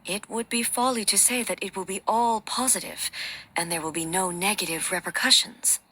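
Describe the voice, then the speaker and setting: A woman voicing a regal ruler goddess in a video game, composed and resolute, with measured, formal, stately phrasing. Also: medium pitch, fairly even intonation, bright, forceful tone, husky. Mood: reflective. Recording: studio voice with faint music behind.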